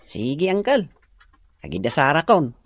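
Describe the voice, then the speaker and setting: An actor playing a caring, dutiful young nephew in an Ilocano radio drama, earnest, with an Ilocano accent. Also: male voice, on the high side, huge pitch swings, measured pace, dry recording, exaggerated voice. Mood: caring, gentle.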